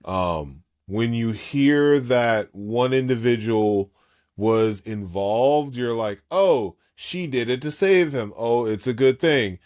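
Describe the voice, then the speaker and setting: A man in his late thirties chatting casually as a podcast co-host, an animated and gushing fan. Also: mid-range voice, takes it slowly. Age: 30s.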